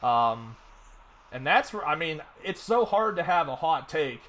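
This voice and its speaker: A man's voice: fairly high pitch, big pitch swings, measured pace, fairly bright tone. A man hosting a casual fantasy football podcast, rambling with lots of fillers and restarts. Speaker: podcast host. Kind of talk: casual sports podcast chat.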